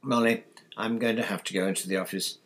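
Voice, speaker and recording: Man acting a weary, husky, middle-aged father. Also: fairly low pitch, steady tone, a bit bright. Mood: weary, resigned. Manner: heavy, measured, soothing.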